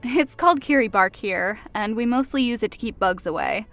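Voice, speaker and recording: A woman playing a kind, teasing elf in an audiobook, speaking softly with amusement over music. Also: fairly high pitch, expressive, normal speed, creaky. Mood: amused teasing. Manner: soft, amused. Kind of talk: audiobook character acting.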